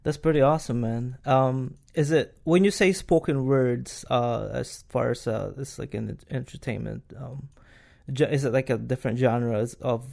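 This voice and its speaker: A man hosting a podcast interview, asking questions in a halting way with many fillers and restarts. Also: mid-range voice, creaky, a little mellow. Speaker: podcast host. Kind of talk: casual interview chat.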